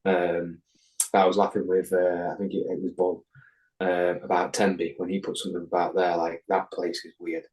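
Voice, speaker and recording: A man's voice: low voice, monotone, moderate pace, a little mellow, slight background noise, rough recording. A man from England, a podcast guest, telling a rambling anecdote in casual chat, swearing constantly and restarting his sentences with ums and likes.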